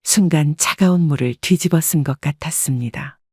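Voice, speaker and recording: A woman giving scripted first-person narration in an even, wistful storytelling manner. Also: low voice, moderate pace, slightly rough, dry recording, studio quality. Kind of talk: scripted audio drama narration.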